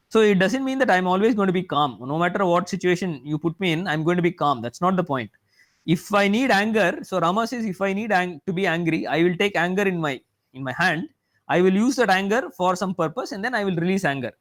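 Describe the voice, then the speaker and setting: High-voiced man, rambling lecture. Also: a bit bright. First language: Tamil. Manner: rambling, filled with "you know" and restarts. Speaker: mathematics professor giving a talk. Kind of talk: lecture-style talk.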